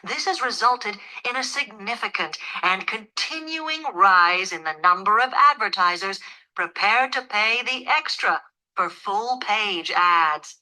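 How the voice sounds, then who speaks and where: A woman delivering a scripted academic lecture in a measured, formal, explanatory manner. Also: mid-range voice, lively intonation, sharp tone, poor audio. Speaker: lecturer in a listening test.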